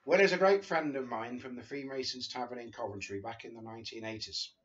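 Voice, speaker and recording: A man's voice: mid-range voice, quick pace, highs cut off. Older man reading a memoir on his sofa. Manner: chatty, chuckling asides between read passages. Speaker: reader of a friend's memoir. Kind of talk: casual book reading to camera. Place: living room sofa, indoors.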